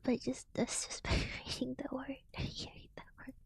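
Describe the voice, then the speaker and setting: A tired woman streamer, yawning as she chats softly with her viewers in a whispered ASMR stream. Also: high voice, monotone, moderate pace, vocal fry, breathy edge, no echo. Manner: soft whispering, chatty with viewers.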